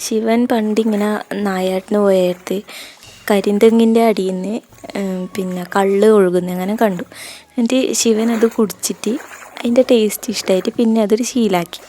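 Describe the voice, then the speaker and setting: A woman vlogger with a North Kerala, Kannur accent and a soft-edged, mellow tone. She talks informally, as if recounting from memory, feeling her way through what she says in a relaxed, chatty style. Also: mid-range voice. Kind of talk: casual storytelling and chat while walking.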